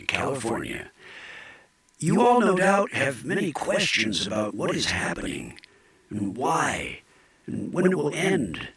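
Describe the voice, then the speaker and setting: Old hippie conspiracy host in audio drama; pompous, oratorical, highly animated pitch. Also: male voice. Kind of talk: audio drama monologue as online broadcast.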